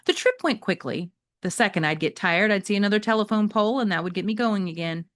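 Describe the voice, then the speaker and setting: A woman voicing a wry, wistful three-legged shelter dog who loves food, telling a children's story in an expressive, conversational way. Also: mid-range voice, no room sound, clean studio sound, lightly acted. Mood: musing. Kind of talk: first-person children's novel read aloud.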